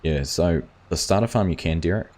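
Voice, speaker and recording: A man's voice: low voice, fairly quick, darkish tone. A man hosting a gaming livestream, chatting casually and reading viewers' comments aloud. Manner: rambling, reads chat aloud and answers viewers.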